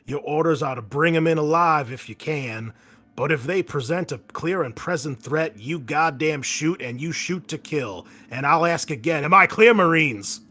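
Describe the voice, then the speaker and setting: A man voicing a gruff, foul-mouthed Marine commander giving emphatic orders in a tabletop game briefing. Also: a little high, lively intonation, normal speed, pushed and bright. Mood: fierce, commanding.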